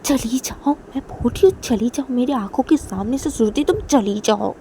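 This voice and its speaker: Brief: woman putting on an enraged young woman, shouting and tearful, pitched high and loud, mellow tone. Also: moderate pace, a little hiss or hum, close to an everyday voice. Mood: furious. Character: furious young woman, sister, shouting and sobbing.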